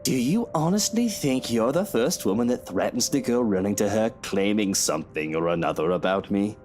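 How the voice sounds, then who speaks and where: Woman putting on a deep-voiced sleazy, smug man. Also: animated, normal speed, darkish tone, soft background music. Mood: taunting. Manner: leering, taunting, condescending. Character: smug, sleazy, lecherous married man, threatening.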